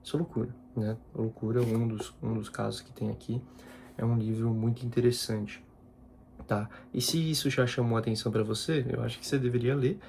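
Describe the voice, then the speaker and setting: A man's voice: medium pitch, steady tone, fairly quick, creaky, a little mellow, a little hiss or hum. A man, a content creator talking casually in a personal vlog and often repeating himself. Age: 20s. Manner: informal, repeats himself, slangy asides.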